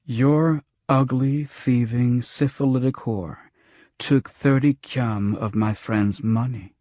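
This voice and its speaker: A man voicing a cool street tough who makes calm, menacing threats in an audiobook. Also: medium pitch, slow, no room sound, clean studio sound, close to an everyday voice. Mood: scornful, provoking. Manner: calm, soft-spoken threats. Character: cool, menacing male street tough defending his friend. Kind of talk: audiobook character voice.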